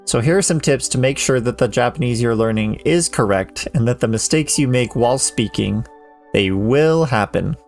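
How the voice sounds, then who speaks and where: A man in his thirties, a language teacher, reading a measured, earnest voice-over lesson. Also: mid-range voice, animated. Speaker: Japanese teacher. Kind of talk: scripted educational voice-over. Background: soft background music.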